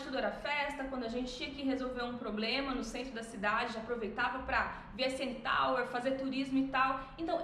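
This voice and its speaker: A woman's voice: high-pitched, fairly bright tone, reverberant, a little hiss or hum. A Brazilian woman in her thirties, a mother telling her family's story in a casual vlog, reflective, with frequent tag questions. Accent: Brazilian Portuguese. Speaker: vlogger, mother.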